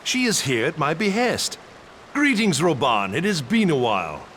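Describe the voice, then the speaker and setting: An actor playing a proud, gracious old male knight captain in a game cutscene, speaking in a formal, measured way. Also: on the high side, sing-song, a bit bright, a little hiss or hum. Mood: cordial. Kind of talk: video game cutscene voice acting.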